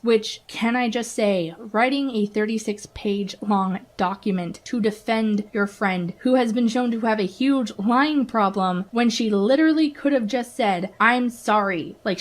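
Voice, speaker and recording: A woman giving casual, opinionated video commentary. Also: on the high side. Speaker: YouTube commentator.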